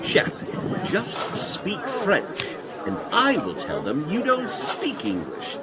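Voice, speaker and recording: A man's voice: sits high, huge pitch swings, over a noisy crowd, very noisy, exaggerated voice. A man playing a polite, formal attaché to a celebrity chef in a comic audio drama. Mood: polite, composed. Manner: formal, courteous, measured.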